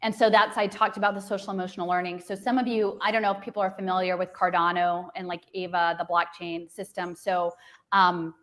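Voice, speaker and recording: A woman's voice: fairly low pitch, flat delivery, a little fast, a bit bright. A woman guest explaining her views in long, earnest run-on sentences, with frequent restarts and filler. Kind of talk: casual explanatory talk.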